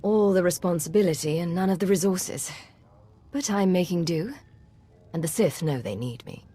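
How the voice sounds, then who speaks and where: A woman's voice: fairly low pitch, expressive, normal speed, warm tone, breathy edge. A woman playing a cool, guarded secret agent in a video game, with terse, controlled lines. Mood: wry, guarded. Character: cool, self-reliant female secret agent, loyal and guarded.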